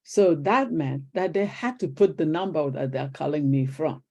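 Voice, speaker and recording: A woman's voice: sits low, normal speed. An older woman from Nigeria with a Nigerian accent, a retired teacher telling stories as an interview guest. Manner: animated storyteller acting out quoted exchanges. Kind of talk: conversational interview storytelling.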